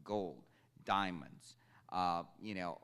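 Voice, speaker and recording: Man, animated panelist. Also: deep voice, slow pace, smooth. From Ukraine. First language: Russian. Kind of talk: panel discussion.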